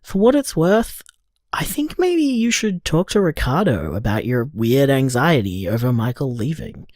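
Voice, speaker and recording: Caring, weary man played by a male actor for naturalistic audio drama; reflective, affectionate, varied in pitch, recorded very dry. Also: big pitch swings, unhurried, a little mellow, no room sound. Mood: caring, gentle. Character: tired, caring man leaving his partner a voicemail.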